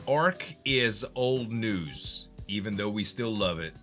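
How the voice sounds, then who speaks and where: A man chatting casually on a live game stream, excitable and swearing, hyping games and laughing often. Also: lowish voice, slow pace, faint music behind.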